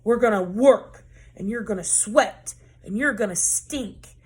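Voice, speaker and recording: A woman reading aloud, voicing a strict woman football coach who barks gruff orders. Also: medium pitch, measured pace, a little mellow. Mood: barking. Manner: barking, gruff commands.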